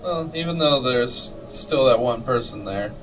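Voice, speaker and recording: A man's voice: medium pitch, expressive, unhurried, far from the mic, low-quality recording. A man with an American accent co-hosting a gaming livestream in casual, joking chat with a friend. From Michigan, United States. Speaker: game livestream co-host. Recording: clear music playing behind voice.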